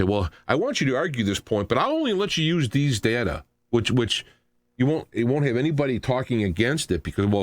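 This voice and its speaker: A middle-aged or older American man hosting a radio talk show, delivering an unscripted political rant in an animated, argumentative, emphatic way. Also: lowish voice, dry recording.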